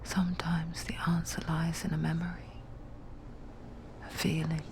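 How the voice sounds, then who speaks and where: A woman playing the solemn, hushed narrator of a dark video game tale, speaking in a measured, sombre way. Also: sits low, completely flat delivery, noisy background. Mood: brooding.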